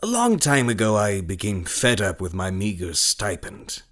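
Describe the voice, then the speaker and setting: Actor as a low-voiced, scheming melodrama villain. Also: male voice, huge pitch swings, a bit bright. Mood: smug, gloating. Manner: theatrical, sardonic, deliberate. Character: scheming, greedy, mocking villain father and husband. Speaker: actor in an audio drama. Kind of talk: melodramatic horror radio play acting.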